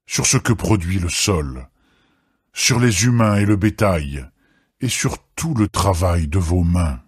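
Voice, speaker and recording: Deep-voiced, slow, husky male scripture narrator. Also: no room sound. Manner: solemn, deliberate. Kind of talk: dramatised scripted Bible reading. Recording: music behind the voice. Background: background music.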